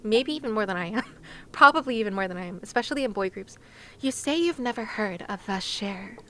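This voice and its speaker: A woman's voice: medium pitch, expressive, brisk, pushed and bright, slight background noise. A young woman hosting a livestream, chatting casually with viewers in a playful, exclaiming, giggly manner. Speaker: livestream host. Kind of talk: casual chat with stream viewers over a game. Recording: game music behind the voice.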